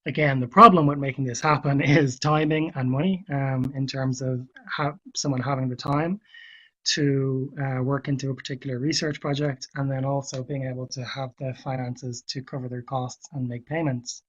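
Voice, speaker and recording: A man with a cold presenting to a webinar, speaking in a measured way and thinking aloud with fillers and restarts. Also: mid-range voice, fairly even intonation, sharp tone, husky. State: hoarse from a cold. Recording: dull lo-fi webinar audio.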